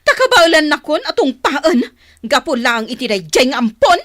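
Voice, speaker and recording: A woman's voice: sits high, sing-song, normal speed, fairly bright tone, no echo, clean studio sound. A woman playing a harsh, domineering mother in a radio drama, scolding and commanding. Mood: bitter. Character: harsh, domineering mother who resents her adopted son. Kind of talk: Ilocano radio drama acting.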